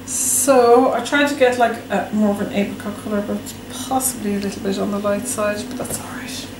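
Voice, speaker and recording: A woman's voice: medium pitch, steady tone, fairly quick, background noise. Chatty Irish woman in a roomy space. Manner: chatty, teasing banter. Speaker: soapmaker. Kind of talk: casual chat while cutting soap.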